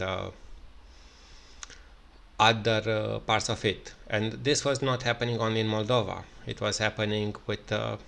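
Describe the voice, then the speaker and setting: A man with a Romanian accent, speaking English in an unscripted solo commentary, halting with many fillers and restarts. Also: lowish voice, vocal fry, a bit bright. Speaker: video commentator.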